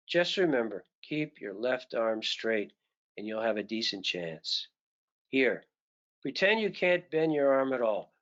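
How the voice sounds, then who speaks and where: A man voicing a gentle, patient male teacher who speaks softly, low and slowly. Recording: dull lo-fi recording.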